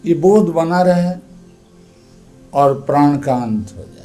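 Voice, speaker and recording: A man leads a guided meditation as a teacher, speaking in a calm, deliberate, instructive manner. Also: fairly high pitch, faint music behind.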